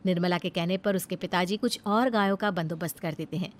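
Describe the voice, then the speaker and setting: A woman's voice: lowish voice, a little fast. A woman reading scripted narration for a cartoon family story as its storyteller.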